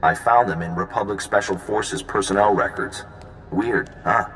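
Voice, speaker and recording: An actor playing an easygoing, smooth-talking, flirtatious male intelligence agent in a video game, casual and breezy. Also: sits low, moderate pace, a bit bright, faint music behind. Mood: businesslike. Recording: long reverb, faint background noise.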